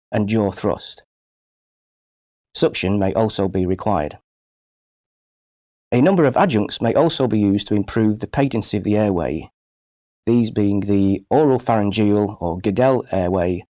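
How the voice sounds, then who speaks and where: Low-voiced man narrating medical training. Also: a little mellow, no echo, clean studio sound. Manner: measured, precise, formal. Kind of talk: scripted instructional narration.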